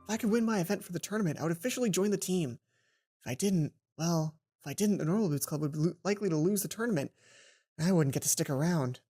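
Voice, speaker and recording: Lively, expressive woman reading a game aloud. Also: on the low side, quick pace, breathy edge, soft background music. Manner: playful, expressive reader who stumbles and rephrases. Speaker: let's play host. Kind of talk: reading a visual novel aloud with side comments.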